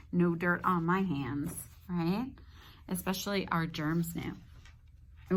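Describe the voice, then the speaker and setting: A woman teacher reading a children's book aloud, pausing to explain simply and check in with her young listeners. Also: medium pitch, a bit bright. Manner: instructive, addressing young children with check-in questions.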